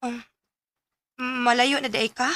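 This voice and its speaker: A woman's voice: on the high side, little pitch movement, drawn-out words, a bit bright, no room sound, soft background music. A woman playing a feisty, proud young student in a radio drama, with a Cebuano Filipino accent. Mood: wistful.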